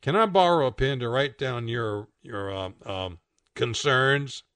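A man's voice: mid-range voice, measured pace, fairly bright tone, clear, resonant tone, dry recording, natural voice. A man voicing a cartoon planet Earth that coaxes and pleads in a casual way, eager to be friends. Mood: wheedling.

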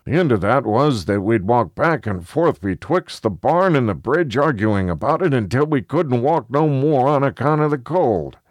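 A man's voice: mid-range voice, animated, moderate pace, few pauses, no echo, studio quality, pitched above the performer's own voice, close to an everyday voice. A man voicing a cheerful, lonely old country neighbour in a storybook, rambling along in folksy, old-fashioned speech. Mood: amused, rambling.

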